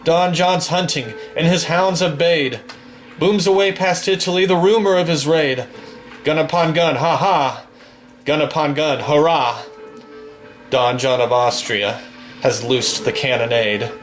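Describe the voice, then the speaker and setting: A man reciting a long poem from memory in a slow, declamatory manner, swelling on the refrains, then chatting casually about a game. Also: on the high side, faint music behind. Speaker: poetry reader and gamer.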